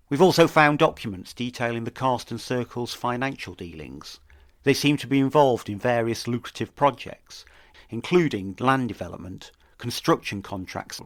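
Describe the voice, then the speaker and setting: A man hosting an investigative podcast, delivering scripted true-crime narration in a serious, measured manner. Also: medium pitch, no room sound, studio quality.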